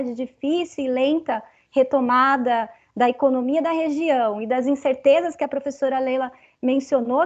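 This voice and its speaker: A woman's voice: sits high, darkish tone, dull audio. A woman with a Brazilian Portuguese accent moderating an academic webinar, reading statistics carefully and adding "né" often. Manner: careful, reads figures, frequent "né". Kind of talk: academic panel presentation.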